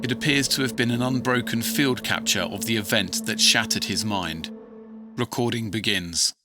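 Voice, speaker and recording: A man playing a grave investigator, reading an evidence log in a formal, measured documentary style. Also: on the low side, music in the background, natural voice. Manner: formal, measured, matter-of-fact. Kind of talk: scripted documentary narration.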